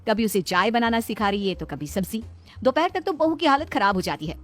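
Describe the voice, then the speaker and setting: A woman's voice: fairly high pitch, lively intonation, brisk, soft background music. A woman narrating a scripted comic moral story as the storyteller.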